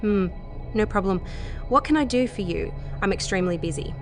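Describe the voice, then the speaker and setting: A woman's voice: mid-range voice, expressive, brisk, natural voice. A woman playing a fearful, guarded scientist in an audio drama, with a flustered, clipped way of talking. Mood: flustered, reluctant. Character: harried, fearful, guarded female scientist on Mars. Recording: voice over loud music.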